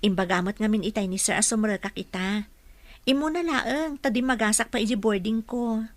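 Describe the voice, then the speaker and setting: A woman's voice: mid-range voice, normal speed, no echo, close to an everyday voice. An actress with an Ilocano Filipino accent playing a polite young female office employee and dutiful daughter in a radio drama. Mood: chatty. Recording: noisy radio drama with vehicle ambience.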